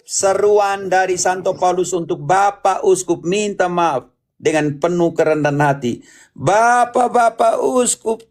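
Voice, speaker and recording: Higher-pitched man teaching the Bible, emphatic. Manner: emphatic, repeats phrases, prompts a reader and asks questions. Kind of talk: interactive Bible teaching, apologetics.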